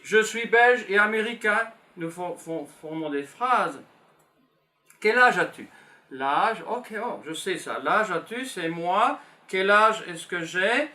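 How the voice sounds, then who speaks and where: A man's voice: high voice, animated, pushed and bright. A man in his fifties from Belgium, teaching beginners and patiently repeating simple phrases as he writes them down. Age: 50s. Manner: slow, patient, repeats phrases while writing. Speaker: French teacher. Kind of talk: classroom lesson for beginners.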